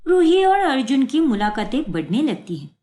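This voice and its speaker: A woman's voice: sits high, very animated, moderate pace, warm tone. A woman narrating a scripted family story as a storyteller.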